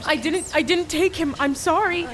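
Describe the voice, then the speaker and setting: High-pitched, haunted young woman, acted. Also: a crowd in the background. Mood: panicked, desperate. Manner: halting, breathless pleading with repeated words. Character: anguished, haunted young daughter, pleading, fragile. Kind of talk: radio play acting.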